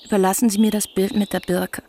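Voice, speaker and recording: An actress playing a grown daughter in a radio play, with a slightly creaky voice and a composed, measured, quietly firm manner. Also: female voice, mid-range voice, flat delivery, a little hiss or hum, lightly acted. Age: 30s. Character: grown daughter, calm and resolute.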